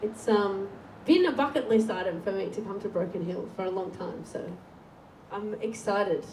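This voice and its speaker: A woman's voice: mid-range voice, normal speed, a little mellow. Teasing, laughing woman in her thirties. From Tasmania, Australia. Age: 30s. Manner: bubbly, teasing, laughs often. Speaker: host, songwriter. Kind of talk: playful live interview hosting. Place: community venue before an audience. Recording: roomy, distant live hall mic with faint noise.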